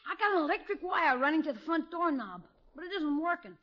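A man's voice: very high voice, sing-song, fast talker. A man playing a cheeky, mischievous schoolboy nephew with brash, slangy boyish talk in radio sitcom style. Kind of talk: 1950s radio sitcom acting. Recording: muffled 1950s radio recording.

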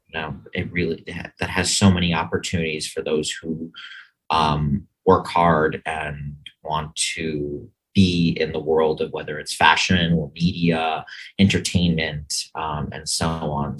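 Low-voiced New man, rambling. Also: a bit bright, husky, rough recording. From New York. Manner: rambling, many ums and restarts. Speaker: guest, media sales executive. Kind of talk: casual interview chat. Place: office.

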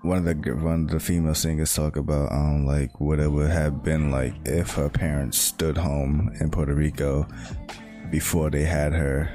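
Young man, very low voice, flat delivery, halting and reflective, steady guitar music underneath. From United States. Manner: halting, many "like" fillers, reflective. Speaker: speaker sharing personal reflections. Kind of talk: casual monologue.

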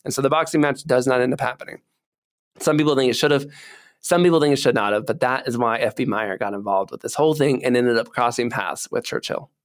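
A man's voice: medium pitch, fast talker. A man co-hosting a podcast, telling history casually and acting out imagined dialogue in everyday words. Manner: informal, colloquial, acts out imagined dialogue. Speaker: podcast co-host.

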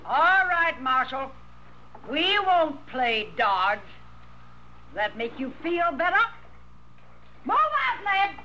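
Tough defiant american circus boss, sharp lively voice, faintly noisy old radio. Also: female voice, sits high, slow pace, pauses often, dull audio, full character voice. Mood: barking, sarcastic. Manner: forceful, curt, challenging. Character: brassy, defiant, determined woman circus boss. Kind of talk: 1950s radio western acting. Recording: old radio recording, slight noise.

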